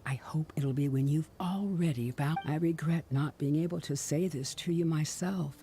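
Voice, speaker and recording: A woman playing a loving, dying parent who reads a farewell letter softly and solemnly in video game dialogue. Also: sits low, normal speed, a little hiss or hum, natural voice. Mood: resigned, loving. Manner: soft, breathy, tender, solemn.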